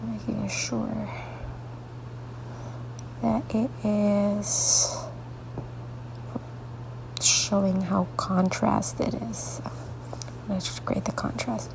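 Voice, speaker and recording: A woman artist talking through a coloring tutorial with a congested, stuffy voice, rambling softly and often dropping into half-whispered asides, sleepy late at night. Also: medium pitch, fairly even intonation, very slow, creaky, pauses often, background noise. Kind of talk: casual coloring tutorial narration.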